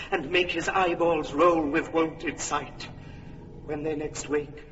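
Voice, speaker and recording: An actor playing a commanding fairy king, giving orders in measured verse in a radio drama. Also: sits high, roomy sound, long reverb tail, dull audio, noisy background. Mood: hushed. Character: Oberon, commanding fairy king, stern and imperious. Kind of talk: classic stage radio drama.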